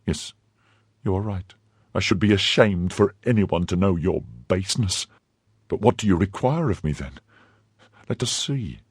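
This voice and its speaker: A man voicing an indignant young wife who scolds her husband, pushing his husky voice higher. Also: animated, a little slow, long pauses, dry recording, clean studio sound, exaggerated voice. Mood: commanding. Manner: scornful, pleading and scolding by turns. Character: young woman, indignant, fiercely loyal wife.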